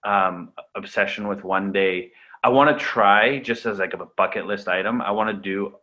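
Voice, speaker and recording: A man in his thirties with a low, bright voice talks casually as an interview guest, circling his points with fillers and restarts. He grew up in Canada, and German was his first language. The recording is a little dull and lo-fi. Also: normal speed. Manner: relaxed, rambling with many fillers and restarts.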